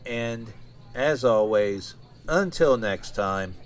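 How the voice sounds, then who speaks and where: A man talking casually and informally as a solo podcast host, in a halting, rambling way. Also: mid-range voice, animated.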